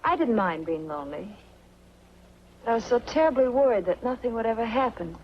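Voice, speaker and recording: A woman's voice: a little high, animated, brisk, close to an everyday voice. An American actress playing a guarded, wistful young woman with a secret past in a 1940s film noir. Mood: wistful, confiding. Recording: muffled, noisy 1940s film soundtrack.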